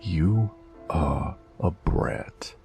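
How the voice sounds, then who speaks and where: Man acting a very deep, gravelly noir mobster. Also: big pitch swings, very slow, darkish tone, faint music behind, full character voice. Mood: amused teasing. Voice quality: very deep, gravelly, breathy murmurs. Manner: old-time gangster slang, sighing, drawling. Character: weary, teasing, affectionate male noir mobster lover. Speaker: voice actor in romance audio roleplay. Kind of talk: intimate noir romance audio roleplay.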